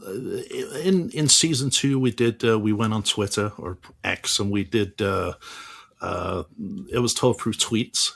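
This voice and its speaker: A man's voice: fairly low pitch, measured pace, gravelly, fairly bright tone. A man being interviewed as an author, speaking hesitantly with many restarts and fillers. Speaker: author and guest. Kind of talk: casual interview.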